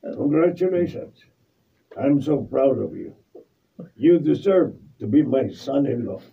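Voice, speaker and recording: An authoritative, hearty older father-in-law of wealth. His husky voice swings widely in pitch, as in Filipino radio drama. The recording is dull and distant. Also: lively intonation, slow, heavily acted. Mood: approving, jovial. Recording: dull sound, distant microphone.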